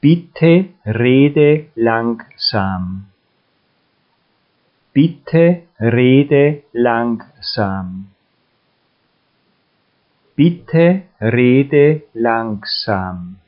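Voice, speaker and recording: A man reading a listen-and-repeat language drill, saying each short phrase slowly and deliberately with long pauses between them. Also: mid-range voice, animated, highs cut off. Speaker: language teacher.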